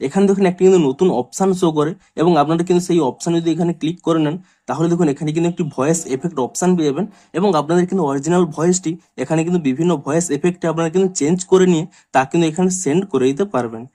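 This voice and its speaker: Male tech tutorial host, extremely rapid delivery, slightly high voice, chained step-by-step instructions. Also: mellow. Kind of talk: step-by-step screen tutorial narration.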